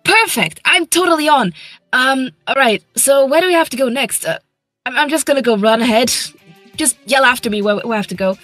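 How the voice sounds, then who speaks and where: Flustered young hedgehog hero, female performer for comedy dub: high-pitched, chatty, rambling, faint music behind. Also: animated, fairly quick, a bit bright, dry recording. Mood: breezy. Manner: rambling, casual, sheepish. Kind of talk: improvised comedy fandub.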